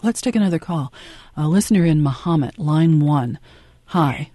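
A man hosts a call-in radio show in a dry studio. His voice is mellow and a bit high, and he speaks haltingly, repeating words. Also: dry recording. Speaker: radio host.

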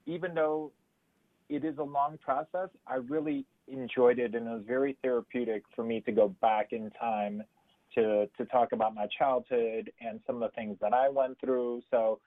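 Man, from Chicago, chef guest, measured with fillers, tinny phone line. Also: mid-range voice, steady tone, no echo. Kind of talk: casual radio interview.

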